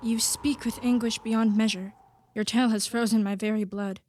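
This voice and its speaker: Woman playing high-voiced, earnest sea captain, noisy recording. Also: steady tone, moderate pace, darkish tone, natural voice. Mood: concerned. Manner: formal, earnest declaiming. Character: grave, concerned male sea captain. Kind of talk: theatrical radio play acting.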